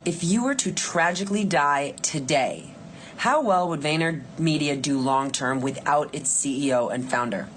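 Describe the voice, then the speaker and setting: A woman's voice: low voice, normal speed, a little hiss or hum. A woman reading written questions aloud for an audiobook. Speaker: question reader.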